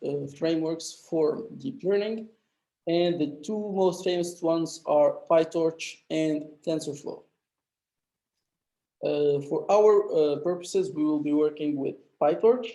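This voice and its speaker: A man's voice: fairly high pitch, gravelly, mellow. A man with a mild flu teaching a live online coding lecture, explaining step by step with many filler sounds. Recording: dull lo-fi echoey room.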